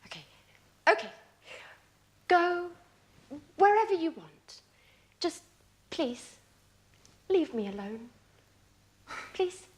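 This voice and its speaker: A patient friend who coaxes and gets exasperated easily in a language-course sitcom. Her voice is high and swoops expressively. She speaks slowly and deliberately. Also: big pitch swings, heavily acted. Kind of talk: slow, clear scripted sitcom dialogue for learners.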